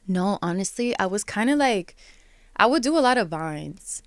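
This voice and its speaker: Smooth-voiced woman in her twenties. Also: mid-range voice, normal speed, dry recording, clean studio sound. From Miami. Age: 20s. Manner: relaxed, laughing, lots of "like" fillers. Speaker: guest artist. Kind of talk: casual interview chat.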